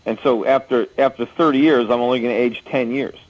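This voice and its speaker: A husky-voiced man in his forties hosts a call-in radio show. He talks at an everyday pitch and lays out his views through plain, homely comparisons. He often trips over his own words and restarts. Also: steady tone, a little fast, poor audio. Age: 40s. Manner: explains with homely analogies, frequent restarts.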